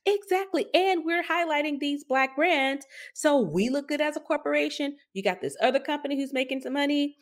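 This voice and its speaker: A woman's voice: high-pitched, brisk, hardly any pauses. A woman co-hosting a podcast in casual conversation, speaking informally and stressing her points by repetition. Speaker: podcast co-host.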